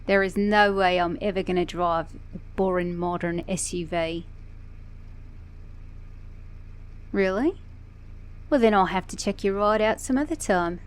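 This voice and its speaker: A woman acts out a flirty female car mechanic in a romantic ASMR roleplay. Her accent blends Australian and British, and her voice sits at a middle pitch. She teases playfully, with a sassy, affectionate confidence. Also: moderate pace, natural voice. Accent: Australian-British mix. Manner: flirty, teasing, playful, with soft chuckles.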